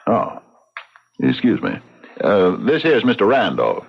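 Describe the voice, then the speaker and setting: A man's voice: fairly low pitch, wildly swooping pitch, unhurried, gravelly, breathy edge, exaggerated voice. An actor playing a big, folksy frontier clerk and lawman in a radio drama, with plain country talk. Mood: affable, wry. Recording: dull lo-fi radio recording.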